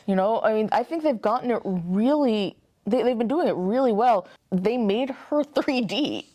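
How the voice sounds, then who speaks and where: A woman co-hosting a review in a casual, expressive, gushing chat style. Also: fairly high pitch, normal speed. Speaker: toy review co-host.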